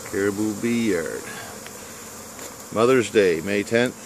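A beekeeper from Canada narrating his bee yard outdoors in a calm, unhurried way, filming on a handheld phone. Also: fairly low pitch, background noise. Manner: calm, unhurried commentary in short phrases. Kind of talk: casual outdoor video narration. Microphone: handheld phone camera. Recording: clear bee buzzing outdoors.

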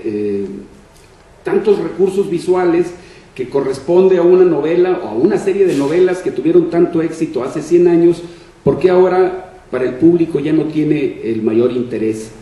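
An older man giving a public panel talk in a deliberate, formal, measured way into a table microphone. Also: on the high side, animated, mellow. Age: older, 60s or 70s. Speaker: panel speaker on science fiction. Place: indoor room at a panel table. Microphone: shared table microphone on stand. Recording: echoey room, distant mic, faint noise.

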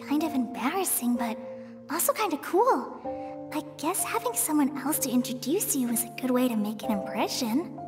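A shy teenage girl, with a soft, high, youthful voice. She sounds modest and hesitant, a kind game character over music.

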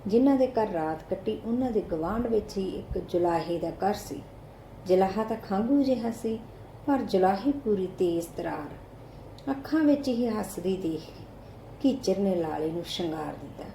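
Mellow Punjabi woman narrating in an echoey room. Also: mid-range voice, animated, moderate pace. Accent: Indian Punjabi. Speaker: audiobook narrator. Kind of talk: scripted short story narration. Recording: roomy echo, faint background noise.

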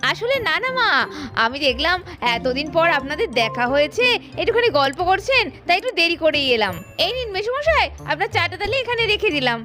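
A woman voicing an anxious, dutiful elder daughter-in-law, animated and exclamatory, in a puppet cartoon. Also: very high voice, brisk, music in the background. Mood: polite, bright. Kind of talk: Bengali puppet cartoon narration and dialogue.

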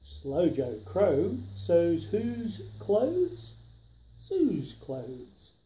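Very slow-reading older man, storybook style. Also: high-pitched, huge pitch swings, dark tone, roomy sound. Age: 60s or 70s. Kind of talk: children's picture book read-aloud. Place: living room, indoors.

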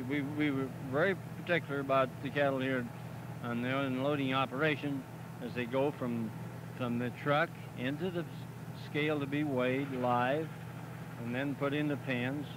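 Plain-spoken farmer, noisy film audio. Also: male voice, mid-range voice, steady tone. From Missouri, US. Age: middle-aged. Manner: plain-spoken and halting, with frequent "uh" and "see?". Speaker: packing plant representative for a farmers group. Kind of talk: filmed outdoor interview. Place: outdoors by a cattle pen. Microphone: handheld mic held by interviewer. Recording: noisy 1970s 16mm film sound, outdoors.

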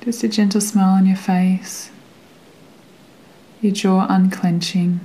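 A woman guiding a meditation in a gentle, soothing way, with a soft, sometimes breathy voice and long pauses between phrases. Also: medium pitch, little pitch movement, low-quality recording. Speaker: meditation guide. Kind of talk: guided meditation narration.

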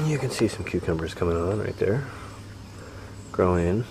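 Man, very low pitch, casual farm tour, easygoing rambling, outdoors, camera mic, crickets and insects behind. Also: animated, moderate pace, noisy background. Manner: easygoing, rambling, frequent "uh" fillers. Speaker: farmer giving a garden tour. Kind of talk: casual walking tour commentary. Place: outdoor vegetable farm fields. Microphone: handheld camera mic, walking.

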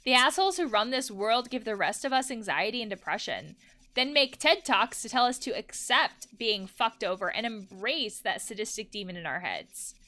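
Woman reading as swaggering, high-pitched reckless party friend. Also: expressive, moderate pace, pushed and bright. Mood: goading, ranting. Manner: goading, emphatic, theatrical reading. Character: reckless, swaggering, foul-mouthed young party-going friend.

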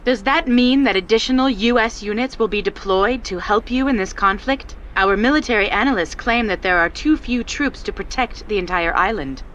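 A woman's voice: medium pitch, normal speed, few pauses, fairly bright tone, dull audio, slight background noise, natural voice. Woman acting an earnest female war correspondent. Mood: formal, probing. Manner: formal, clearly projected reporting. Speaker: field news reporter. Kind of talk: TV news report in a game cutscene.

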